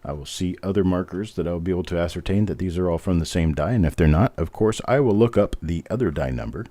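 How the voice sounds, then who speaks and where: A man with a very low, deep voice and a smooth, mellow tone hosts a live coin reveal and chats along in a relaxed way. He makes easygoing, self-deprecating jokes between coins. The recording is dry and close, with little room sound. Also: fast, no echo. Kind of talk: casual live-stream commentary.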